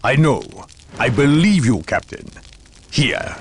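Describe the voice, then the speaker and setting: A man's voice: medium pitch, expressive, slow pace, a little hiss or hum. A man playing a zealous, commanding inquisitor in a video game cutscene, speaking formally and with authority. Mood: solemn urgency. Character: solemn commanding male inquisitor, zealous, world-weary judge of men.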